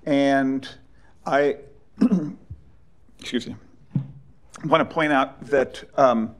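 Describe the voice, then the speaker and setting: A man introducing speakers at an academic panel, halting, with frequent fillers and restarts. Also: mid-range voice. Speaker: panel moderator.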